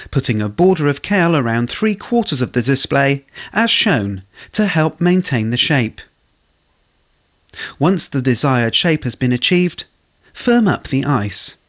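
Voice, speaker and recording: A man reading scripted instructional narration for a corporate training film, in a measured way. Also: mid-range voice, a bit bright.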